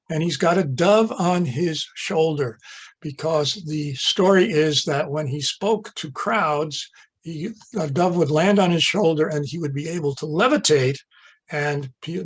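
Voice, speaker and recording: Husky chatty man giving a lecture. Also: on the high side. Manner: chatty and amused, with fillers and asides. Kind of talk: slide-show lecture.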